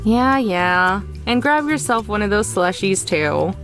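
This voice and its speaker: A man's voice: high-pitched, lively intonation, a little slow, fairly bright tone, soft background music, voice pushed up, full character voice. A man voicing a practical, guarded young woman in a visual novel, dry and a little exasperated. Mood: grudging.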